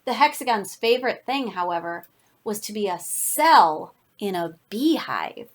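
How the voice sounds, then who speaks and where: A woman reading a children's picture book aloud as a teacher, with deliberate, expressive emphasis. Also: mid-range voice. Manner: deliberate, expressive, dramatic emphasis for young listeners. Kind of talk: read-aloud children's storytelling.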